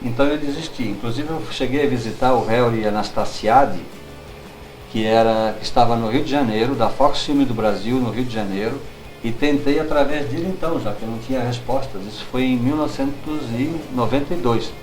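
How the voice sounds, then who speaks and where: A middle-aged man with a Brazilian accent, reminiscing as an interview guest in an unhurried, halting way, searching for his words. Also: medium pitch, darkish tone, faint music behind, rough recording. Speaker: guest writer.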